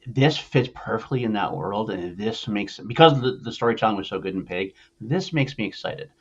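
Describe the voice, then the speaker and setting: Low-voiced man, slightly muffled podcast audio. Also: expressive, normal speed, husky. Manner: sweary, rambling, emphatic. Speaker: podcast host. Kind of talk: casual opinionated film discussion.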